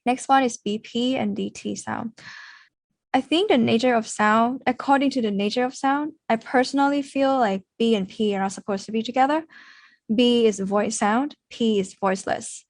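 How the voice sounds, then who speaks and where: A woman's voice: fairly high pitch, no room sound. A young woman language teacher giving a conversational explanatory presentation, repeating sounds to demonstrate them. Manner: demonstrates sounds slowly with repetition. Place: indoors on a video call.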